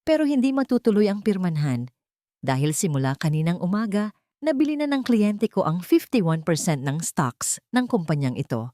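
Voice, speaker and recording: A woman's voice: fairly low pitch, big pitch swings, moderate pace, warm tone, dry recording, studio quality. A woman voicing an elderly male lawyer in an audio drama, formal and authoritative. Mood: formal, firm.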